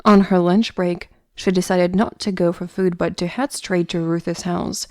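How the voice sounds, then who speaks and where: A woman narrates a scripted audio story. Her voice sits a little low and is dark and relaxed. She tells it as the narrator. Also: a little slow, no room sound, studio quality.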